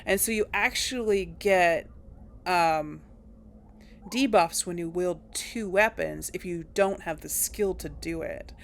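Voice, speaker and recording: A woman casually commenting on her gameplay, thinking aloud with hesitant pauses and small chuckles. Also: lowish voice. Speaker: let's play gamer.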